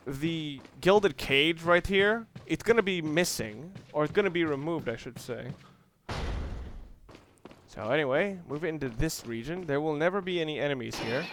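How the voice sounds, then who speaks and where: A man's voice: on the high side, fairly bright tone, slight background noise. A man giving casual let's play commentary, rambling and thinking aloud as he explains what he is doing. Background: game music and sounds.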